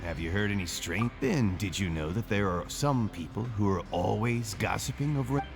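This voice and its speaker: An actor playing a friendly, eager card-playing inmate in a video game, breezy and gossipy. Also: male voice, lowish voice, normal speed, vocal fry, over music. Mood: chatty.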